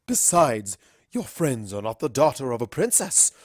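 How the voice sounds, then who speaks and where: A woman voicing an imperious evil princess mare and stern mother in a fan fiction reading, pompous, slow and grandiose. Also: very deep voice, big pitch swings, no room sound, studio quality, full character voice. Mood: stern, scolding.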